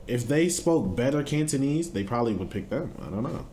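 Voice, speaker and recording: A young man riffing on a clip as a reaction host, blunt and profane. His pitch swings widely as he talks, and a faint hiss sits under his voice. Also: animated, brisk. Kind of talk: casual reaction commentary.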